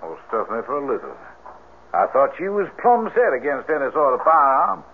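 Folksy Southern country storekeeper, lively voice. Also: male voice, medium pitch, brisk. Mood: folksy, curious. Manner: drawling, rustic, homespun talk. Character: folksy older country storekeeper, kindly but firm. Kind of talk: 1970s radio drama acting. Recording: tinny noisy old radio broadcast.

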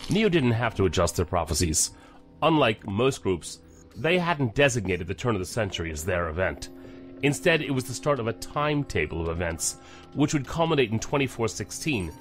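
An actor playing a measured, knowledgeable investigator in an audio drama, explaining things deliberately. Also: male voice, lowish voice, very animated, soft background music. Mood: measured, explanatory. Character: male investigator briefing colleagues on a cult, measured, knowledgeable.

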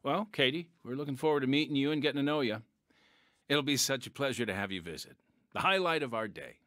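A kindly male pastor speaks with a very animated lilt, courteous and a little awkward. An actor plays him in a radio drama, recorded dry. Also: medium pitch, quick pace, dry recording, natural voice. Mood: welcoming. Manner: hesitant, courteous.

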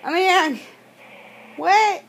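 A woman's voice: very high-pitched, slow, fairly bright tone. A woman making a prank phone call in a brash, confrontational way, swearing and cutting in. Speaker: prank caller. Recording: noisy home-made recording.

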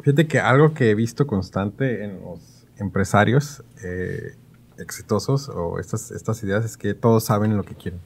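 A man, hosting a casual podcast with thoughtful, halting phrasing. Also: fairly low pitch, creaky, husky. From Mexico. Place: small padded podcast studio. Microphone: studio mic on stand.

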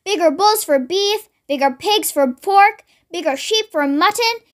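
A woman voicing an excitable small father who yells and exclaims, in a storybook reading. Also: very high-pitched, a little slow. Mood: thrilled. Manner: exclaiming, yelling. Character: excitable small man, a father easily worked up.

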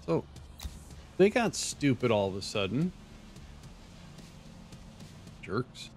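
An older man streaming a strategy game from his home, talking to himself in quiet, low-key asides. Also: big pitch swings, unhurried, faint music behind. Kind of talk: casual let's play commentary. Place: home room, seated in a dark chair.

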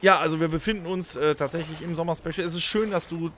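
A man's voice: on the high side, steady tone, quick pace, a bit bright, a little hiss or hum. A middle-aged man from Germany hosting a podcast, chatting casually and rambling with self-mocking asides. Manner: rambling, self-mocking, lots of filler sounds. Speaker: podcast host. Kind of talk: casual chat in a staged holiday audio play.

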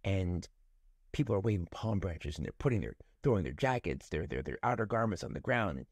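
Man, forties, pastor, low gravelly voice, dry close webcam sound, unhurried reflective delivery. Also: lively intonation, no echo. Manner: gentle, unhurried, warmly reflective. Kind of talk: prayerful devotional talk. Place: home room with ceiling fan. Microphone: webcam close up, looking up from below.

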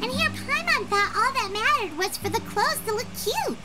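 A super high squeaky lil fairy girl game companion, bubbly n bouncy, loud music behind. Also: lively intonation, moderate pace. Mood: chatty, curious. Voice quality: squeaky childlike voice. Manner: bubbly, speaks of herself in third person. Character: tiny childlike floating fairy girl companion, chirpy and eager. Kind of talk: scripted video game voice acting.

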